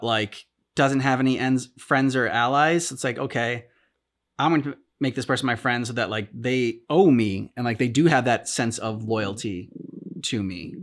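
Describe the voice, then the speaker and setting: A man in a casual discussion, talking as a reaction show host in a halting, rambling way as he thinks aloud. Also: medium pitch, fairly bright tone. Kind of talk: casual discussion of video game story.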